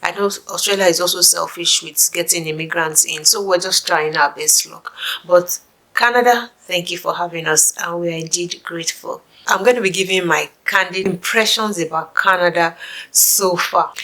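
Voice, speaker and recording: A woman's voice: on the low side, normal speed, bright, forceful tone, rough recording. A middle-aged woman from Nigeria with a Nigerian accent, chatting casually to camera as a vlogger for immigrants. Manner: chatty, musing, with asides and restarts.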